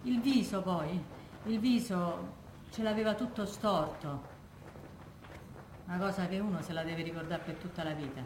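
A woman's voice: on the low side, normal speed, pauses often, darkish tone, lightly acted. Female, Roman accent actress: raw, haunted widow of the people, colloquial stage monologue, roomy and noisy recording. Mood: horrified, distraught. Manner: colloquial, emphatic, rhetorical questions. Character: working-class Roman widow, raw, haunted by wartime massacre. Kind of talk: theatrical testimony monologue. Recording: echoey room with background noise.

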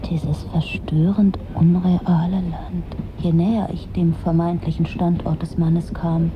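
A woman's voice: fairly low pitch, muffled recording, noisy background, close to an everyday voice. An actress playing a disillusioned, sardonic middle-aged woman, delivering a dry, brooding literary monologue in a radio drama.

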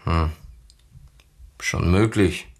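A low-pitched man as a gruff suburban neighbor answering police questions. He is helpful, in a naturalistic radio-drama manner. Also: very slow, exaggerated voice. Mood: gruff, cooperative.

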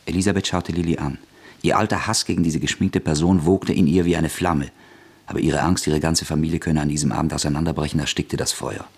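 A man narrates a radio play in a measured, steady voice. His voice is extremely deep, with a husky grain.

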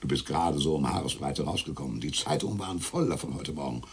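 An actor playing a weary, gruff police captain who speaks in an exasperated way. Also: male voice, extremely low pitch, quick pace, full character voice. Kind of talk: radio drama acting.

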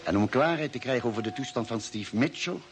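A man's voice: medium pitch, lively intonation, quick pace, lightly acted. A man acting an earnest, inquiring ship's doctor in a vintage Dutch radio play, on a noisy old recording. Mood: sober narrating.

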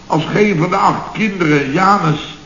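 An actor playing a blustering, aggrieved middle-aged boss of a building firm in a sarcastic, grumbling radio comedy style. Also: male voice, high voice, normal speed, a bit bright. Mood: exasperated. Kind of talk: Dutch radio comedy acting, circa 1970. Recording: dull, noisy, roomy old radio recording.

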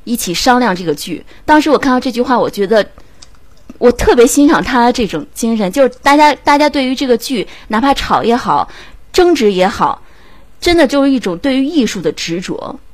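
A woman's voice: a little high, big pitch swings, fairly quick, no room sound. A woman guest speaking reflectively and earnestly in a casual online chat. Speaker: amateur voice actress, guest.

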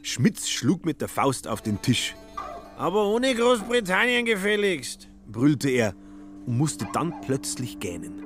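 An actor as the wry narrator of a satirical radio drama, telling the story and acting out the quoted lines. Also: male voice, fairly high pitch, big pitch swings, unhurried, music in the background. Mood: dramatic, wry.

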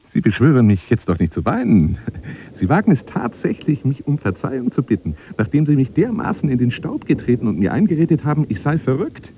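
An actor playing an obsessive, grandiose telephone seducer in a radio drama, husky and breathy, florid and formal, wounded and menacing. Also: male voice, medium pitch, very animated, a little fast, warm tone, a little hiss or hum. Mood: offended, scornful. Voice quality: husky, breathy, often dropping to a whisper. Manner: theatrical, florid, formal address, swinging from pleading to scornful.

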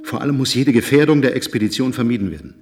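An actor playing a controlled, clinical male officer of a space expedition in a German radio drama, formal and deliberate. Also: mid-range voice, animated, lightly acted. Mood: firm. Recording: music under the voice.

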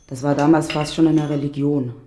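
A man's voice: on the high side, level tone, brisk. Male literary narrator over noticeable noise.

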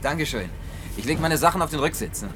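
An actor playing an awkward, grumbling drifter in a German science-fiction radio play, speaking colloquially, hesitant and gruffly excitable. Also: male voice, on the high side, fast, distant mic, a little hiss or hum. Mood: awkward, subdued. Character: awkward, grumbling male drifter, last man on earth.

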